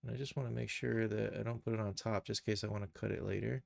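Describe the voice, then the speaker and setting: Gravelly low-voiced man, casual tutorial. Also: fairly even intonation, fast, no room sound. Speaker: streamer, animation tutor. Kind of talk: live software tutorial, casual thinking aloud.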